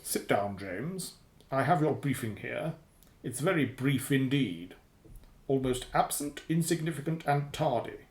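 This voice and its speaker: A man's voice: mid-range voice, lively intonation, unhurried, a little mellow, a little hiss or hum. An actor playing a sardonic, menacing spy boss in a radio play, pompous, wordy and precise in his sarcasm. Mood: curt, dry. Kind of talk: radio drama acting. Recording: roomy, echoing sound.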